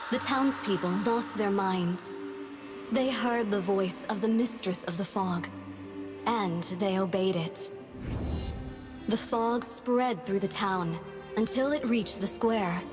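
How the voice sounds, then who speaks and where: A woman voicing a solemn storyteller in a game, narrating a dark fairy tale in a measured, dramatic way. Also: mid-range voice, natural voice. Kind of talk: slow scripted storybook narration.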